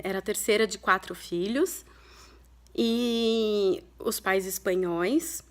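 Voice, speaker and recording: Woman in her thirties, from Brazil; candid, reflective vlogger telling a family story with frequent pauses. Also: mid-range voice. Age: 30s. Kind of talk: personal storytelling to camera.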